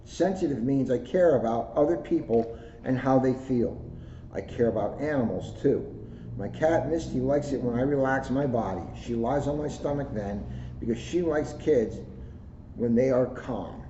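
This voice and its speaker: Mellow man reading aloud in an echoey room. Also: mid-range voice, normal speed. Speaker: police officer reading a children's book aloud. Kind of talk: children's book read-aloud with casual asides. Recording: roomy echo, muffled audio, faint noise.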